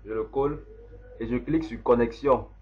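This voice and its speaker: A man, slowly walking through a software setup step by step as an instructor. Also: medium pitch, slight background noise. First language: French. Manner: slow, step-by-step explaining. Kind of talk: screen-recorded software tutorial. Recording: dull lo-fi voice recording.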